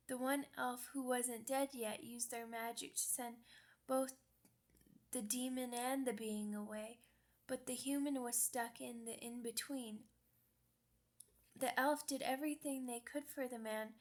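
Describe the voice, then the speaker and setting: Woman voicing a sad, slow-talking young werewolf pup. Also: a little high. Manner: childlike, hesitant, simple wording. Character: sad young female werewolf pup, earnest, last of her pack. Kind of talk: ASMR roleplay monologue.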